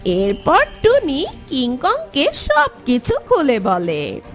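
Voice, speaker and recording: A woman narrates a children's cartoon story in a high voice. She takes her time, with very lively intonation, over soft background music. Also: takes it slowly.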